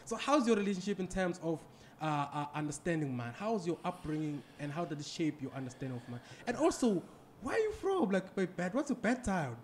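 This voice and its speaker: A man's voice: high-pitched, sing-song, fairly quick, few pauses, a little mellow, a little hiss or hum. A young man hosting an interview, speaking in a casual, chatty way and often giving short agreeing sounds. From South Africa. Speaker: podcast host. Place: small office meeting room. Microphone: desk podcast mic on boom arm.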